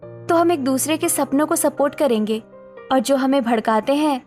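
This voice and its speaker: A woman's voice: high voice, moderate pace, darkish tone, faint music behind, close to an everyday voice. A woman voicing a self-assured working daughter-in-law in a cartoon story, firm and earnest. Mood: sincere, supportive.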